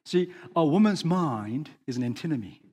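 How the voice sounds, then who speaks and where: A man acting as pastor, interviewing a congregant on stage in a lively, teasing way, often restarting his questions. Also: a little high, slight background noise. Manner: animated, teasing, halting restarts.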